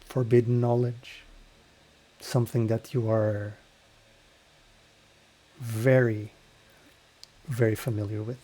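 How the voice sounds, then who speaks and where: A man as dungeon master, slowly narrating a scene with halting pauses. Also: medium pitch, level tone, dark tone, rough recording. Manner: slow, halting descriptive narration. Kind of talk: narrating a tabletop game.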